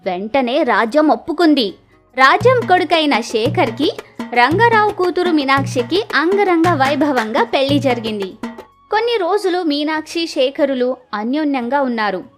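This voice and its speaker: A woman's voice: high-pitched, expressive, moderate pace. A woman storyteller narrating a scripted village moral story. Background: music.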